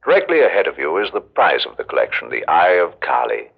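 An actor playing a recorded museum guide in a radio drama, narrating formally with measured, grandiose solemnity. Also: male voice, a bass voice, huge pitch swings, vocal fry, husky, exaggerated voice. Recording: dull old radio recording.

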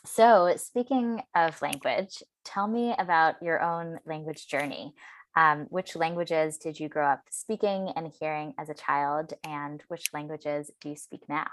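A woman interviewer asking calm, measured questions about a guest's experiences. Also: low-pitched.

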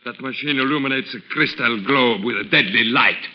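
An actor playing a scheming occult hypnotist villain in 1940s radio style, with slow, menacing, melodramatic delivery. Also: male voice, mid-range voice, close to an everyday voice. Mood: defiant, gloating. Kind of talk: 1940s radio mystery acting. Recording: echoey, hissy, dull old radio recording.

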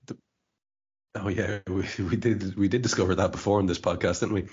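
A man's voice: low-pitched, steady tone, a little fast, darkish tone, slightly rough, lo-fi sound. A man hosting a casual podcast chat, stammering and repeating words as he talks. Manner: stammering, repeats words, laughs while talking. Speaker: podcast host.

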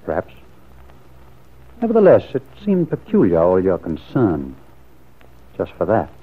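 Husky, swooping suspicious radio lover. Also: male voice, sits low, huge pitch swings, a little slow, heavily acted. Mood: doubtful. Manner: probing, hesitant, earnest. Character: suspicious, brooding lover pressing for the truth. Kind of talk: classic radio soap opera acting. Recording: muffled lo-fi vintage radio recording.

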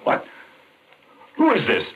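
A man's voice: slow pace, lightly acted. A man playing an earnest doctor, muffled vintage radio. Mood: shaken. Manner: polite, earnest, proper. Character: educated, polite doctor drawn into a deadly mystery. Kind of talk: old-time radio drama acting. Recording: dull, lo-fi vintage radio recording.

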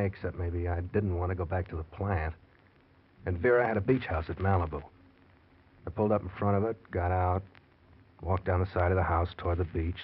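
A man's voice: deep voice, lightly acted. A man playing a desperate, scheming husband who tells of his crime in slangy, hard-boiled 1940s radio drama style. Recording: muffled, noisy 1940s radio recording.

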